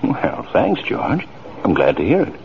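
An actor playing a kindly, patient older small-town father in 1940s radio style, with a husky voice. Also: medium pitch, wildly swooping pitch, a little slow, slight background noise, full character voice. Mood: gentle, encouraging. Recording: muffled vintage radio recording.

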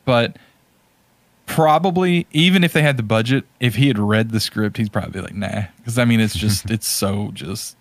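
A man's voice: lowish voice, moderate pace, gravelly, no room sound. A man co-hosting a podcast, chatting in an informal, conversational way. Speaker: podcast co-host. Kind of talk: casual chat.

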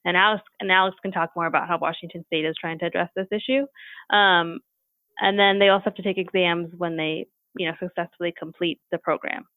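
A woman with a gravelly, creaky edge to her voice talks through the issues in an explanatory podcast chat. She keeps checking in with "right?" as she goes. The sound is thin and phone-like, with a dry, close feel. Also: lowish voice, fairly even intonation, brisk, no echo. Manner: thoughtful, explanatory, many "right?" tags. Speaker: guest expert on teacher preparation. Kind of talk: podcast conversation.

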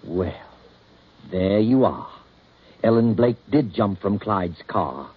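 An actor playing a knowing, wry storyteller who narrates a 1940s radio drama. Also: male voice, on the low side, animated, slow, slight background noise. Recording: dull, muffled old radio recording.

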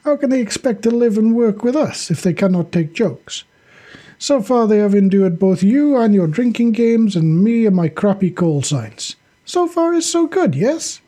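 A narrator voicing a husky good-humored commander. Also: mid-range voice, very animated, moderate pace, dark tone, pitched above the performer's own voice. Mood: wry. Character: good-humored Russian male armoured squad commander.

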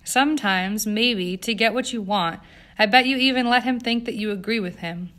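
A woman's voice: fairly high pitch, normal speed, a bit bright, lightly acted. A woman voicing an indignant, earnest young society woman from an audiobook, emphatic and accusing. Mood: accusing, pressing. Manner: emphatic, sputtering outrage. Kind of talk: audiobook character acting.

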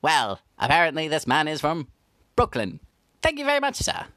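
Deadpan faux reporter, stiff and formal, animated low voice. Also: female voice, normal speed, dry recording, clean studio sound. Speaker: mock street reporter. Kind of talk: comedic mock news report.

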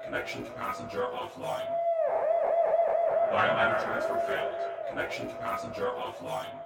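A man's voice: moderate pace, over loud music, lightly acted. A man playing a cold, unfeeling alien pod computer that gives clipped, impersonal announcements in a sci-fi audio drama. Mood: cold, procedural.

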